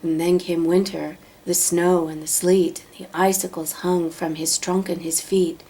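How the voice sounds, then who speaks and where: A woman reading a children's storybook aloud, narrating in a dramatic, deliberate way. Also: fairly low pitch, flat delivery, dark tone, low-quality recording. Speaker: storybook reader.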